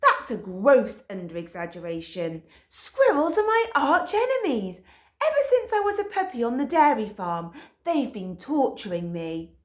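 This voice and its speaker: A woman voicing an excitable, hot-tempered male dog who rants in outrage, in a roomy echo. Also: fairly high pitch, normal speed, pitched above the performer's own voice. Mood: indignant. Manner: ranting, outraged, dramatic.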